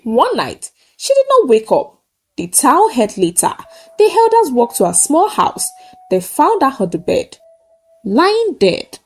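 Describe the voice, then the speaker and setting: A woman's voice: high voice, wildly swooping pitch, unhurried. A woman narrates a folktale in short, simple sentences, solemn and emphatic. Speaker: storyteller. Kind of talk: dramatic folktale narration with a closing moral.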